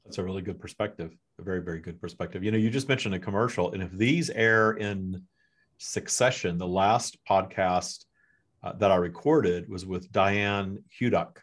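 A man hosting a podcast, talking with a guest in a relaxed, halting way. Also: deep voice. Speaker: podcast host. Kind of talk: casual conversation.

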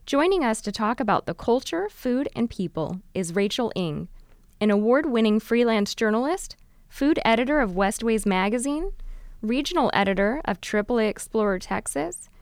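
A woman's voice: on the high side, lively intonation, normal speed, no room sound. A woman hosting a travel interview podcast, moving between scripted introduction and conversational questions. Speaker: podcast host.

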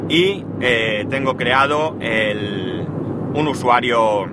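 A man's voice: medium pitch, drawn-out words, very noisy. A man with a Spanish accent from Spain, talking through a casual tech podcast in a chatty, rambling way, with lots of fillers and self-corrections. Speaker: podcast host.

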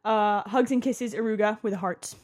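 A woman's voice: medium pitch, steady tone, normal speed, darkish tone. A woman podcast co-host with a slight New Jersey accent, chatting casually, joking crudely and laughing often. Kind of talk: casual chat.

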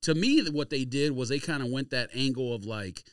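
A man's voice: mid-range voice, fairly quick, smooth, dry recording, clean studio sound. A man hosting a podcast, chatting casually about sports and sneakers in an emphatic, opinionated, slangy way. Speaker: podcast host.

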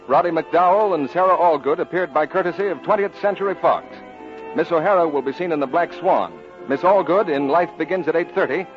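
A man reading scripted announcements in a 1940s radio announcing style. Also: fairly high pitch. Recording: dull vintage 1940s radio, music behind.

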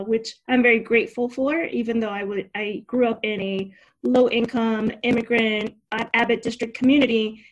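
Brief: young adult woman from Cuba, raised in New Jersey, podcast guest, warm and talkative, slightly high voice. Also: low-quality recording. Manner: upbeat, talkative, laughs easily. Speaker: podcast guest, career coach. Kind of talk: casual interview chat.

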